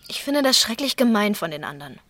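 An actress playing a tender, plain-spoken young wife, gentle and earnest, in a German radio drama. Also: female voice, mid-range voice, big pitch swings, fast talker, over loud music, natural voice. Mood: indignant.

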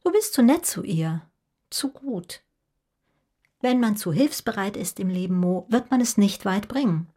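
Husky, reproachful middle-aged mother. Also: medium pitch, expressive, measured pace, mellow. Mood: nagging. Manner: reproachful, insistent. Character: Mo's sharp, proud, nagging middle-aged mother. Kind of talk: German radio drama acting.

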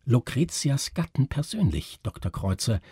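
A man voicing an inquisitive, formal gentleman who questions his companion in a literary story. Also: mid-range voice, very animated, normal speed, breathy edge, no room sound, studio quality, pitched above the performer's own voice, full character voice. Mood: probing.

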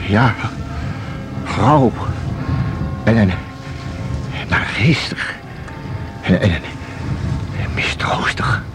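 An actor in a radio play playing a nervous, hesitant museum visitor, halting and stammering as he repeats himself. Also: lowish voice, expressive, over music. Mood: hesitant, uneasy.

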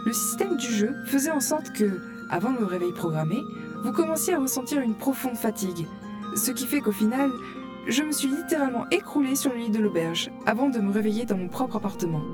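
A woman's voice: a little high, expressive, fairly quick, music in the background, close to an everyday voice. A woman playing a curious young gamer who narrates her virtual-world adventure in a composed way for an audio drama. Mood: calm narrating.

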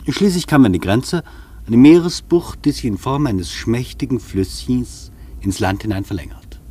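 An actor playing a wry, opinionated traveller who narrates his journey in a dry, measured, ironic way, with a husky voice. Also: mid-range voice, lively intonation, warm tone, slight background noise, natural voice. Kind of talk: literary first-person radio narration.

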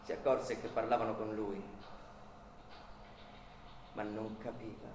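A man giving dramatic literary narration in a radio play, with measured, incantatory phrasing. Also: fairly low pitch, flat delivery, noisy background. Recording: roomy echo with music underneath.

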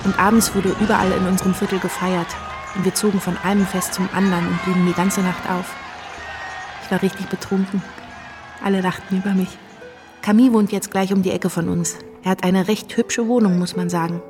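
An actress playing a candid young wife, recounting revolutionary days plainly in a radio drama. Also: female voice, mid-range voice, flat delivery, brisk, darkish tone, faint music behind, close to an everyday voice. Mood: candid recollection. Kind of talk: radio drama first-person narration.

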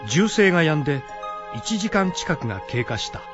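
A man's voice: a little high, big pitch swings, normal speed. A man reading dramatic scripted narration as the narrator of a radio drama. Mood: grave narration. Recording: dull audio with background music.

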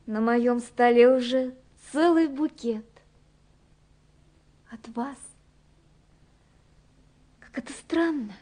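A woman's voice: on the high side, takes it slowly, pauses often. An actress playing a tender, dreamy young woman in love, reading her letters in a wistful, intimate way for a radio drama. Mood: wistful, hushed. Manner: wistful, intimate, softly wondering.

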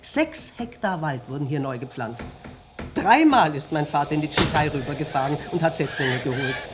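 An actress in a radio play as a sociable, concerned middle-aged country innkeeper, polite and chatty. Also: female voice, low-pitched, lively intonation, normal speed, over music. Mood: reminiscing.

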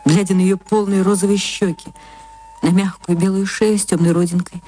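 A woman reading a short story for radio as an actress, in expressive literary narration. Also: medium pitch, normal speed, slight background noise. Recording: music under the voice.

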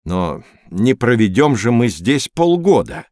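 An actor playing a kindly inventor who guides children, speaking in an avuncular, measured way in an educational audio drama. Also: male voice, mid-range voice, sing-song, a little mellow, dry recording, studio quality. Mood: kindly, guiding. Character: kindly adult male inventor guiding children on journeys.

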